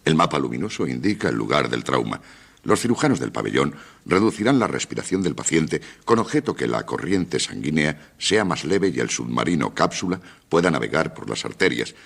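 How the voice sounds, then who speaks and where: An actor in a radio drama playing an authoritative, methodical doctor with a gravelly, husky voice and a formal, measured way of explaining. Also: male voice, a bass voice, big pitch swings, fairly bright tone, exaggerated voice. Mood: methodical, explanatory. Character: authoritative male doctor briefing a surgical expedition, calm, methodical.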